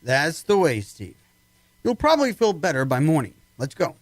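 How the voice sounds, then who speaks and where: An amateur actor playing a skeptical, firm military base doctor in a radio play, measured and coaxing. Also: male voice, on the high side, wildly swooping pitch, a little hiss or hum. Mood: calm, coaxing.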